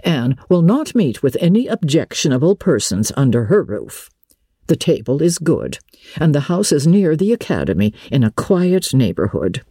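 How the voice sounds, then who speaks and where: A woman's voice: low voice, big pitch swings, moderate pace, warm tone, husky, no echo, studio quality, heavily acted. A woman voicing a proper, genteel, well-to-do elderly lady with a formal, reassuring manner in a storybook reading. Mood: assured, proper.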